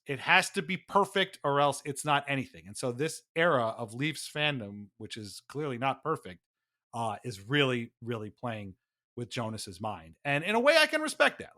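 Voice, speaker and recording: A man with a fairly high, bright and forceful voice co-hosts a sports podcast. He delivers opinionated commentary emphatically, with a wry note of exasperation. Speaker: podcast co-host.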